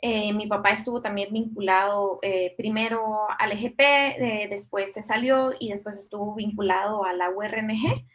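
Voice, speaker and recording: A woman's voice: medium pitch, steady tone, normal speed. A woman from Mexico and Costa Rica answering interview questions conversationally as a documentary director, reflective and often adding little tag questions.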